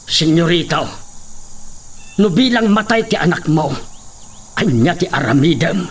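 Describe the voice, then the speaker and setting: A man's voice: on the high side, animated, measured pace. A man playing an aggrieved, defiant elderly farm worker in an Ilocano radio drama, pleading his case with an Ilocano accent. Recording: distant mic, noisy crowd behind.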